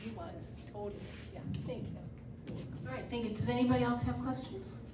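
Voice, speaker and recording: A woman's voice: a little high, moderate pace. A woman chairs a neighborhood watch meeting, chatting and storytelling as she calls on people with questions. She is heard at a distance in a reverberant hall with a faint hum underneath. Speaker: meeting organizer. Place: church hall meeting room. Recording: echoey hall, speaker far from mic.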